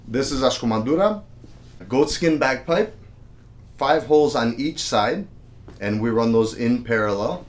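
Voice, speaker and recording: A man's voice: medium pitch, animated, a little slow. A man giving a casual, friendly video lesson on a traditional instrument, counting beats aloud. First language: English. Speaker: music teacher.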